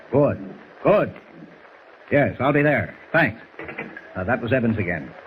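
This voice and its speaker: An actor playing a brisk, gruff, decisive newspaper editor in 1940s radio drama style, brusque and emphatic, on a thin, hissy old recording. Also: male voice, medium pitch, phone-quality sound, close to an everyday voice. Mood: brisk, urgent.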